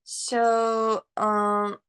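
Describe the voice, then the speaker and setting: Buryat-speaking woman guest, highish flat voice, slow and careful English, video call. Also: smooth. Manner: slow, simple broken English with fillers. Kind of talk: casual video-call chat.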